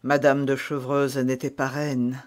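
A man's voice: a little high, steady tone, takes it slowly, a little mellow. A man reading aloud as an ardent, lovestruck nobleman who speaks fervently and fatalistically. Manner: fervent, declamatory.

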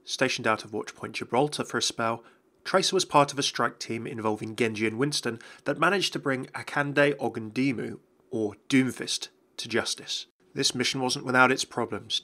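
A man's voice: medium pitch, pushed and bright. A man giving a scripted explainer narration as host of a video.

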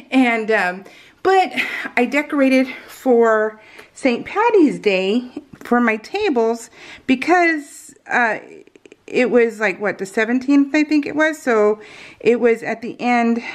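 Chatty, giggly American craft vlogger woman. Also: on the high side. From California, US. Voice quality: unusual, soft R sounds. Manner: giggly, rambling, self-interrupting. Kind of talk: casual chatty craft process narration. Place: indoors at home, at a craft table.

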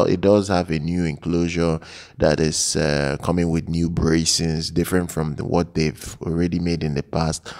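A man giving unscripted product news commentary in a halting, hesitant way, often repeating his phrases. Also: sits low.

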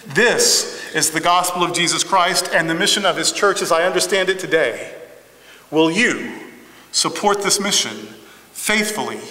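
A man's voice: on the high side, sharp tone. Emphatic preacher man in echoing sanctuary. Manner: emphatic, rhythmic preaching with repeated phrases. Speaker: pastor. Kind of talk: sermon. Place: large church sanctuary. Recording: echoey hall reverb.

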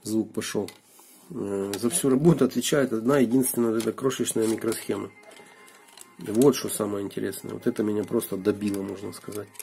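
Hoarse, low, gravelly-voiced man. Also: fairly even intonation, warm tone, a little hiss or hum. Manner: unhurried, thinking aloud with fillers. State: hoarse from a cold. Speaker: video blogger. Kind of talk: casual hands-on gadget teardown. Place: indoors at a wooden desk.

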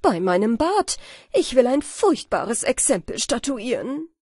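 A woman's voice: fairly high pitch, very animated, a little slow, darkish tone, husky, no echo, clean studio sound, voice pushed up, heavily acted. A woman voicing a wrathful, imperious king in a fairy tale, blustering and proud. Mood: angry.

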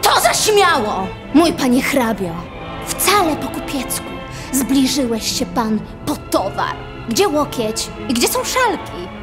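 An actress playing a proud, defiant teenage aristocratic girl in a verse radio play, solemn and deliberate. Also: sits high, very animated, music in the background, heavily acted. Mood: scornful. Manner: solemn, deliberate, poetic delivery. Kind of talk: theatrical verse drama acting.

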